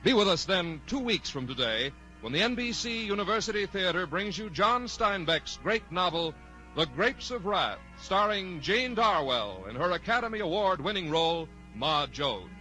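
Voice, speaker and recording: Bright, formal American radio announcer, a man. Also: high-pitched, sing-song, moderate pace, faint music behind, poor audio. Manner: formal, polished delivery. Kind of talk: 1940s network radio announcing, scripted. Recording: 1940s radio broadcast recording.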